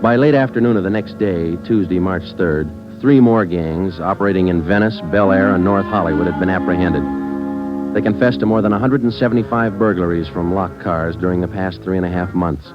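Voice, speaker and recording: A man's voice: deep voice, brisk, close to an everyday voice. An actor playing a terse, businesslike police sergeant narrating his case in a mid-century radio crime drama, clipped and matter-of-fact. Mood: matter-of-fact, grave. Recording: dull old radio broadcast with music.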